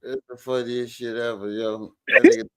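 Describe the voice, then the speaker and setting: Man, amused commentary host, slangy and informal, medium pitch, dry sound. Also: flat delivery, brisk, fairly bright tone, no echo, rough recording. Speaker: commentary show host reacting to a recorded call. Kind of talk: casual reaction commentary.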